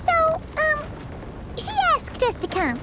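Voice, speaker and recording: A woman voicing a tiny, childlike, excitable fairy companion in an anime game, with a squeaky, perky delivery. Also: slow pace, heavy background noise, full character voice. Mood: casual, reassuring. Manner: perky, exclamatory, refers to self in third person. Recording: background music over voice.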